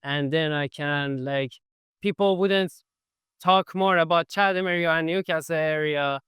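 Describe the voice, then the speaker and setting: A young man with as his hosts a casual interview, his slightly high voice smooth and clear. He talks loosely with frequent "like" fillers. The recording is dry and close. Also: unhurried, a bit bright, dry recording. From Iran. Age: 20s. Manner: chatty, non-native phrasing, frequent "like" fillers. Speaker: podcast host.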